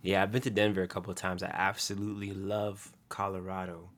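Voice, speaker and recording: A man's voice: sits low, level tone, a little fast, fairly bright tone. A man hosting a podcast interview in an upbeat, casual way with fillers. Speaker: podcast host. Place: US East Coast.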